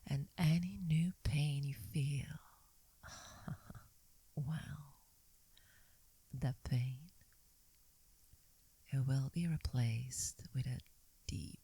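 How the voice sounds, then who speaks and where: A dominant vampire mistress, acted by a woman whispers up close in a dry, intimate space. Her voice is dark and breathy, and she draws her words out very slowly. She teases and coaxes like a predator in a hypnotic ASMR roleplay. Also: extremely low pitch, steady tone, no room sound, full character voice. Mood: soothing, hypnotic. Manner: slow, teasing, coaxing, commanding. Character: seductive, dominant female vampire mistress toying with prey. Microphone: close microphone in a dry space.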